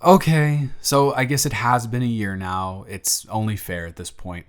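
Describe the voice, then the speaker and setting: A young man playing a grateful single father who talks softly and teases gently in a romantic audio story. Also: on the low side, steady tone, moderate pace, clear, resonant tone, close to an everyday voice. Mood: tender, playful. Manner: soft-spoken, gently teasing. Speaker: audio drama performer.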